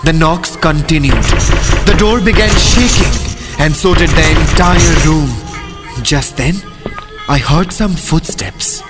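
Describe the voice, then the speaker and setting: A man's voice: a little high, loud music behind the voice. An actor playing a shaken married male traveler who narrates a horror radio play in a theatrical, literary manner. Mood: tense suspense. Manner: theatrical, literary, deliberate phrasing.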